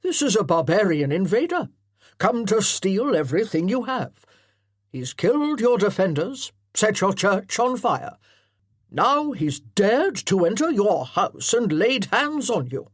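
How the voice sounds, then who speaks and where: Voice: medium pitch, big pitch swings, unhurried, slightly rough, no room sound, studio quality, pitched above the performer's own voice. Narrator barking as a commanding old colonel. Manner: loud barked orders. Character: commanding older male colonel, drill-sergeant bark.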